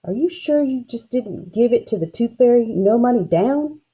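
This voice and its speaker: A woman's voice: fairly high pitch, expressive, moderate pace, dark tone, breathy edge, lo-fi sound, voice pushed up. A woman voicing an ornery young country boy in a storybook, a teasing know-it-all with a matter-of-fact, folksy manner. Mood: cool denial.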